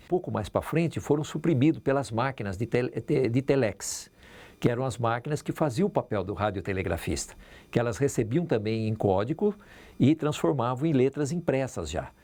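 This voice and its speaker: A man's voice: mid-range voice, warm tone. An older man with a Brazilian accent, explaining and reminiscing as a radio veteran in an interview.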